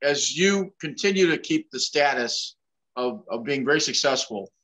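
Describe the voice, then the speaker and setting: A man's voice: mid-range voice, animated, moderate pace, a bit bright. A man in his sixties hosting an interview over a webcam, asking long, winding, chatty questions. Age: 60s. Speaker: show host. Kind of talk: casual interview. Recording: dull lo-fi sound.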